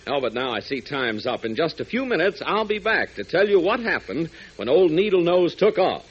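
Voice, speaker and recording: Lively 1940s radio narrator, husky tone. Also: male voice, a little high, normal speed. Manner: dramatic, addressing young listeners. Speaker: announcer and narrator. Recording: dull 1940s radio transcription.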